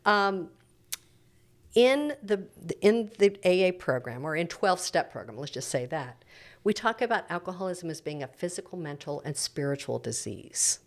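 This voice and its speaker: A woman's voice: lowish voice, big pitch swings, normal speed. A middle-aged woman speaking as a church preacher in an interview, explaining things in an earnest, reflective, teacherly way.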